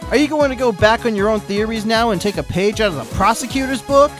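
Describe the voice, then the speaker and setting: A man voicing a cocky aerobics instructor defendant, taunting in a slow, mocking drawl. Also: high voice, expressive, music in the background. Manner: taunting, drawn-out mocking delivery.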